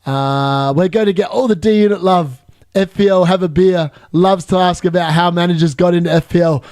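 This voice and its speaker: Excitable high-voiced man in his thirties. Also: fairly quick, clear, resonant tone, no room sound, studio quality. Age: 30s. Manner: chatty, excitable, laughs often, reads viewer questions aloud. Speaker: fantasy football streamer. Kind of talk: live stream Q&A chat.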